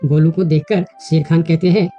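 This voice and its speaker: A woman's voice: deep voice, fairly even intonation, normal speed, highs cut off. A woman giving scripted narration of a children's animal story, with music under her voice.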